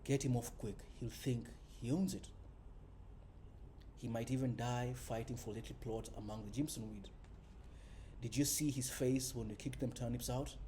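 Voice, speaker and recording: A man's voice: medium pitch, fairly even intonation, moderate pace, mellow, close to an everyday voice. A man voicing a hostile, contemptuous rural lawman in a storybook reading, blunt and folksy. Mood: wary, hostile. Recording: faint background noise.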